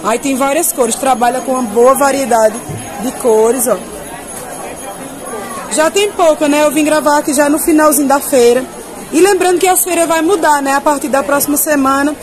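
Female market presenter, Northeastern Brazilian accent, high pitch, informal and chatty with viewers, recorded amid clear crowd bustle. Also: fairly even intonation, moderate pace. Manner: chatty, addressing viewers with repeated tag questions. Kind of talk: informal product showcase. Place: covered open-sided clothing market. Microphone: handheld camera, speaker behind it. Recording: busy crowd noise of covered market.

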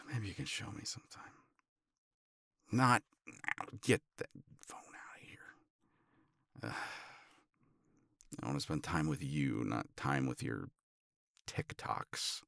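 A man playing a tender, teasing older boyfriend in an intimate romance ASMR roleplay, murmuring in a halting, playful way. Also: a bass voice, very animated, vocal fry, pushed and bright, dry recording, studio quality, heavily acted. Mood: wry, affectionate. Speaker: audio roleplay voice actor.

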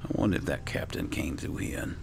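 A man with a gravelly voice giving casual gameplay commentary, muttering to himself as he thinks aloud. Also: fairly quick. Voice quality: gravelly, very low voice. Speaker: video game player and narrator. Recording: noisy recording with game ambience.

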